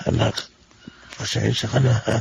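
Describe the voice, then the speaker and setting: Ageing Filipino farmer dad, weak creaky voice, humble and apologetic radio drama style, faint background noise. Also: male voice, mid-range voice, level tone, normal speed, low-quality recording. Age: older.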